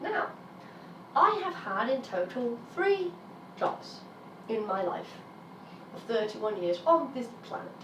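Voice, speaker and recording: A British woman in her early thirties talks candidly to camera, her voice slightly raspy and pitched a bit high. She sounds a step back from the mic, in a roomy space with a faint hum of ambience behind her. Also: very animated, slow pace, pauses often, recorded from a distance. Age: 30s. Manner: frank, emphatic, swears when frustrated. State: raspy while getting over an illness. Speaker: vlogger. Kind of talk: candid personal vlog monologue.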